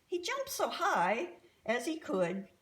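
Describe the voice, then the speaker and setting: A woman reading a children's story aloud as an expressive storyteller. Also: fairly high pitch, takes it slowly.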